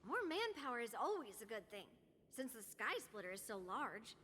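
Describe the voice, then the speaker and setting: High-pitched feisty girl warrior. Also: sing-song, moderate pace, fairly bright tone, slight background noise. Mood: brisk. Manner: brash, commanding. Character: feisty, bold young girl warrior, bossy. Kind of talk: video game voice acting.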